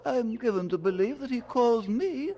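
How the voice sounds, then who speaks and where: A man's voice: very high-pitched, animated, normal speed, warm tone. An actor playing a fussy, aggrieved clergyman in a radio drama, querulous, incredulous and formal. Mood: incredulous, miffed. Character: fussy, aggrieved clergyman called Old Cole.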